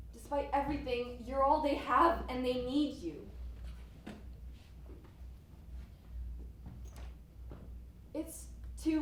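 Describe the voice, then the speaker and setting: A woman acting an accusing, protective best friend in a stage play, speaking with emphatic dramatic pauses, recorded from afar in an echoey hall. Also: on the high side. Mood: firm, pleading. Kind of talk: student one-act stage play acting. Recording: very echoey hall, far mic, faint noise.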